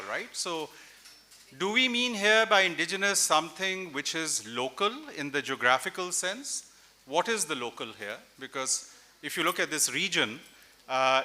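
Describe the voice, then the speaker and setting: A man in his forties from Pakistan moderating a festival panel on a stage mic, measured and reflective, thinking aloud with a few fillers. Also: on the high side, bright, forceful tone. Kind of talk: panel discussion at a literary festival. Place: large indoor festival stage with audience. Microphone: stand mic.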